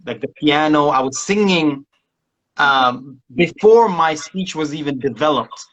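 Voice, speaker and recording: A man from Somalia talks casually as a guest producer, tripping over restarts and often laughing. His voice sits a little high for a man, and the recording is dull and lo-fi. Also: lively intonation, unhurried, a bit bright. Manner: rambling with many restarts and laughs. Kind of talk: casual interview chat.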